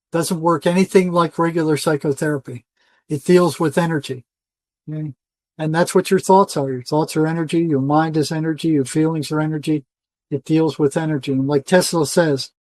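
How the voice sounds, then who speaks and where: Older man, slightly high voice, insistent talker. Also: a little mellow, no room sound. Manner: insistent, emphatic, repeats phrases for stress. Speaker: interview guest, retired psychotherapist. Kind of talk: conversational interview monologue.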